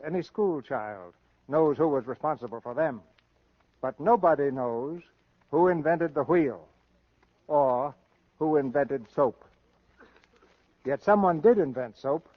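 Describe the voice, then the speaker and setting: A man presents a vintage radio theater program with formal, measured showmanship. His voice is a little high and closely dry. The recording is muffled, like an old broadcast. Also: dry recording. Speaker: radio theater host and narrator. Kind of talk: scripted 1930s-40s radio presentation.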